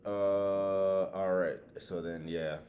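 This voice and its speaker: A man's voice: low voice, fairly even intonation, extremely slow, dull audio. A man co-hosting a podcast, giving blunt opinions in casual, slangy, sweary conversation. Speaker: podcast co-host. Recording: echoey room with faint background noise.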